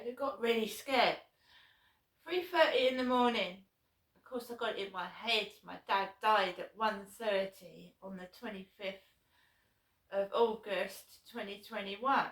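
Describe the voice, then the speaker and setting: A woman with a hoarse, sore-throated voice talks slowly through a rambling personal story, circling back and restarting and swearing without fuss. She sits some distance from the mic. Her voice bounces around an echoey room. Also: mid-range voice, bright, forceful tone. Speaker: vlogger. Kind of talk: casual personal vlog storytelling.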